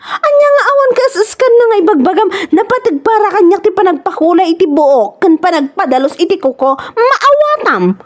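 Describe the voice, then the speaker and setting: A woman's voice: extremely high pitch, brisk. A Filipino woman with an Ilocano accent playing a vain, boastful, scornful village woman in a comedy radio drama. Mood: indignant. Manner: animated, scornful, exclaiming.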